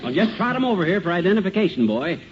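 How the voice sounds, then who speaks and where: An actor playing a blustering, muddled, folksy know-it-all husband in a 1950s radio comedy. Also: male voice, high-pitched, sing-song, rapid-fire. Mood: cocky, blustering. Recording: dull, noisy old radio recording.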